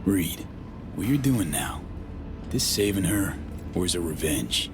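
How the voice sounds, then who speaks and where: An actor playing a gruff, terse mercenary in a video game, talking in a blunt way. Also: male voice, low-pitched, sing-song, a little slow, over music, heavily acted. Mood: probing. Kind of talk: video game cutscene acting.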